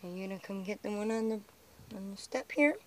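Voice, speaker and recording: An American woman filming outdoors on a handheld camera, talking softly to squirrels as she feeds them. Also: medium pitch, brisk, background noise. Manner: hushed, chatty, talking to the animals. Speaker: vlogger feeding squirrels. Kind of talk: casual commentary while filming. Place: outdoors by a house, on snowy steps.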